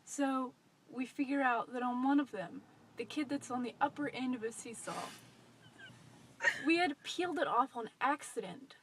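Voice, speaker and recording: A woman's voice: high voice, normal speed, slight background noise, rough recording. A woman in her twenties with an American accent, telling a personal story casually to camera in a chatty, playfully self-deprecating way. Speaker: vlogger. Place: inside a parked car at night. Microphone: phone at arm's length.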